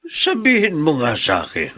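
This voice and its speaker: A man acting a gentle, protective older father in a Filipino radio drama, full of worry. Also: on the high side, huge pitch swings, normal speed, exaggerated voice. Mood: probing.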